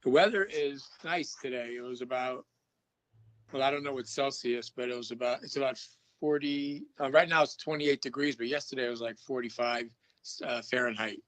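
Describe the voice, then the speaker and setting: A man's voice: mid-range voice, normal speed, fairly bright tone. Guy on a video call, rambly, keeps restarting his sentences, regular mid voice. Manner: rambling, repeats himself with many restarts. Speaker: paranormal investigator. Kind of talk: casual video-call chat.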